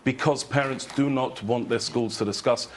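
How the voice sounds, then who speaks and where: A man arguing his case as a head teacher in a studio debate, measured, explanatory and firm. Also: mid-range voice, fairly even intonation, a little hiss or hum.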